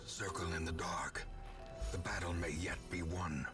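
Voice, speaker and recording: A man voicing the solemn older narrator of a gothic dungeon game, speaking slowly and ominously, sometimes in a whisper. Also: deep voice, little pitch movement. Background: loud game music.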